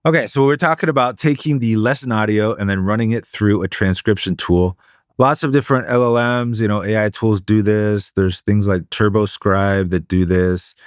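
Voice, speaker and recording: A man co-hosting a podcast, explaining study methods in a measured, casual way. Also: lowish voice, clear, resonant tone, no room sound, studio quality. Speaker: podcast co-host.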